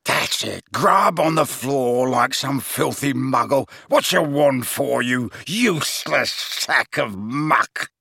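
A man's voice: a little high, big pitch swings, measured pace, vocal fry, sharp tone, slightly rough, dry recording, exaggerated voice. Guy doing a nasty old wizard dad, contemptuous bellowing and jeering, audiobook villain, really dry sound. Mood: furious, bellowing. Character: vicious, sneering, bullying old wizard father. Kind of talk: dramatised audiobook character voice.

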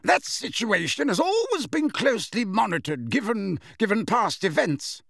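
A man voicing a brisk, exacting witch judge in an audiobook, clipped and authoritative. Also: sits high, sing-song, gravelly, a bit bright, dry recording, studio quality, voice pushed up, heavily acted. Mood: brisk, official. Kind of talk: audiobook character voice.

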